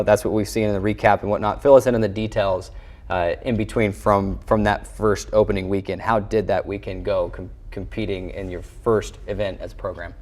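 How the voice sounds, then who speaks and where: A man's voice: on the low side, darkish tone. An American man hosting a sports show, interviewing and presenting in a broadcast style. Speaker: sports show host and play-by-play voice.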